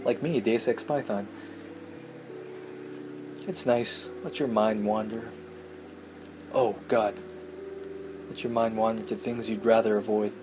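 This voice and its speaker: A man's voice: fairly low pitch, fairly even intonation, slow pace, pauses often. Man reading a game aloud, noisy recording. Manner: sardonic asides, breaking off to joke. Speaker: let's play host. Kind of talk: reading a visual novel aloud with casual commentary.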